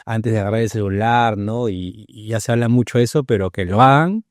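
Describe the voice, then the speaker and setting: A man's voice: on the low side, brisk, no room sound, studio quality. A man hosting a podcast interview, asking long, winding questions and often adding "¿no?". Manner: rambling questions with many "¿no?" tags. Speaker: podcast host.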